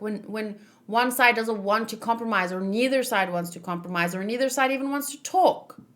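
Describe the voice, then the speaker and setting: A woman's voice: a little high, a bit bright. Casual woman thinking aloud. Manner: thinking aloud, searching for words. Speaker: host of a manga read-along. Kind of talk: casual reading-along commentary.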